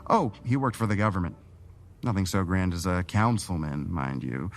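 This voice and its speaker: A man playing a composed, probing city councilman with hidden schemes, in a measured radio drama performance. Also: low-pitched. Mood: reflective, sly.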